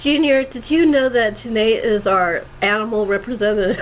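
A woman's voice: a little high, a little fast. Woman host on a tinny phone line. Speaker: host, playwright and director. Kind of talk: casual cast interview. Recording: tinny phone line, clear background noise.

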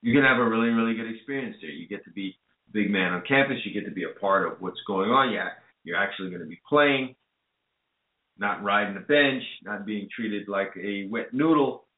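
A man's voice: on the low side, fairly quick. A man hosting a call-in radio show, earnestly advising listeners and then bringing on a guest. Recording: echoey, phone-like lo-fi audio.

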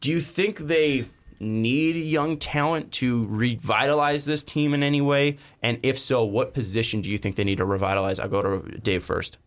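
A man hosting a casual sports podcast, talking with frequent fillers and pauses. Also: medium pitch. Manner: relaxed, hesitant, frequent fillers. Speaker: podcast host.

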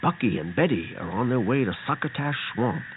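Casting: male actor as theatrical children's story announcer, drawn-out delivery, wide pitch swings, noisy phone-thin sound. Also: expressive, narrow, tinny audio, close to an everyday voice. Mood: theatrical, inviting. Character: storybook narrator addressing children about Beantown's adventures. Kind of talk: slow children's radio-show announcing.

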